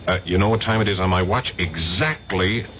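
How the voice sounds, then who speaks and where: An actor playing a tough, wry undercover agent in an old radio mystery. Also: male voice, sits low, brisk, fairly bright tone. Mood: urgent. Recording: muffled, very noisy old radio recording.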